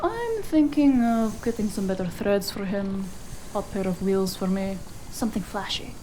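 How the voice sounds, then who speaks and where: Woman playing mellow-toned, sardonic cyberpunk fixer. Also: medium pitch, lively intonation, a little hiss or hum, lightly acted. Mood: brisk planning. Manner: brisk, sardonic, scolding. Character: bossy, sharp-witted female cyberpunk fixer, teasing partner. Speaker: voice actor. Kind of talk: noir audio drama acting.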